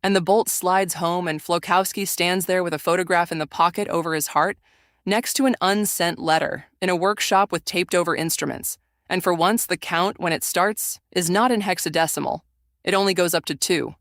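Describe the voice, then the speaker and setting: A narrator reading an audio drama in a measured, literary manner. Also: medium pitch, a bit bright, no echo, clean studio sound.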